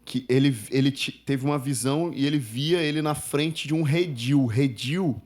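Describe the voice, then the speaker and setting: A man in his thirties, a native Spanish speaker, telling his story as a podcast guest in a casual, animated way. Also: mid-range voice, normal speed. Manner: chatty, animated storyteller, laughs while telling.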